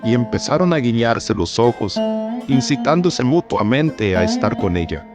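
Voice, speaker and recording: A man narrating a scripted story in a measured, formal storytelling manner. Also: mid-range voice, expressive, music in the background.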